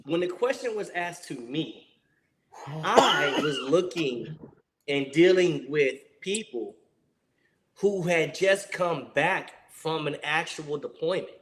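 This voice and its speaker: Guest spot: forceful forties male vet, animated storyteller, on a video call. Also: fairly high pitch, takes it slowly, slight background noise. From San Diego, USA. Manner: animated storyteller, laughs often, speaks to the audience. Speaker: guest, Marine veteran. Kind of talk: casual interview chat over a video call.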